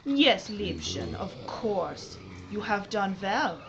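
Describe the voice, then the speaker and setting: A woman's voice: mid-range voice, expressive, takes it slowly, lo-fi sound, a little hiss or hum. A woman voicing a seductive, menacing, hungry female vampire in an audio drama. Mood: menacing, mocking.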